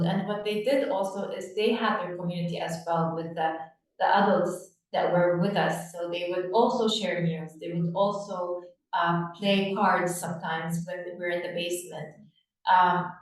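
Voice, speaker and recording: A woman in her thirties from Lebanon, a play therapist, speaking softly and reflectively with many pauses in a podcast interview. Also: on the low side. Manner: soft-spoken, reflective, with many pauses and fillers. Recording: echoey room, distant from mic.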